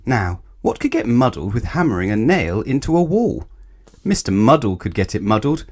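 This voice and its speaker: A man's voice: medium pitch, big pitch swings, normal speed, darkish tone. A man reading a children's picture book aloud expressively, speaking directly to young listeners. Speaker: storyteller.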